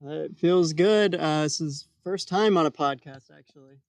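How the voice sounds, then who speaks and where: A man co-hosting a podcast in casual comedic chat, speaking in a hesitant, deadpan way. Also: on the high side, moderate pace, no echo. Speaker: podcast co-host.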